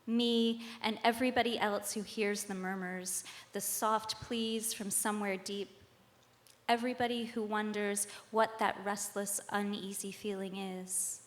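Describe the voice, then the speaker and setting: A woman reads a scripted spoken-word piece on stage, with deliberate, rhetorical phrasing and short dramatic lines. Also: medium pitch, flat delivery. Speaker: reader at a live tribute performance.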